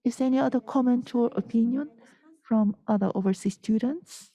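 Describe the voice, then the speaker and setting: Female moderator, courteous and measured, soft mellow tone. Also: a little high. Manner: polite, measured, thanks speakers often. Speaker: session moderator. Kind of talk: moderating a panel discussion.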